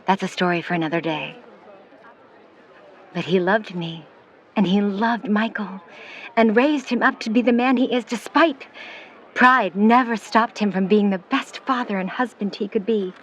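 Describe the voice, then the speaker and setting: A woman playing a skeptical, worried middle-aged mother in a 1950s period audio drama, speaking in a proper, measured way. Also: medium pitch, lightly acted. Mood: guarded, tender. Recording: dull, noisy recording with ambience.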